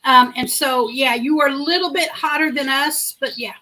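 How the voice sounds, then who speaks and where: A woman's voice: high-pitched, normal speed, bright, forceful tone, slight background noise, poor audio. A middle-aged woman hosting a livestream, chatting in a rambling way, telling a story and answering comments from her chat. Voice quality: big voice. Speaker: livestream host. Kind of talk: casual live-chat storytelling.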